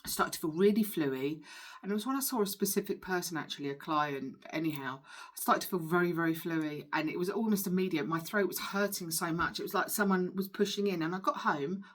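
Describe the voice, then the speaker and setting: A woman's voice: fairly low pitch, fairly quick. A woman giving an informal tarot reading as a spiritual healer, speaking in an emphatic, earnest and reassuring way.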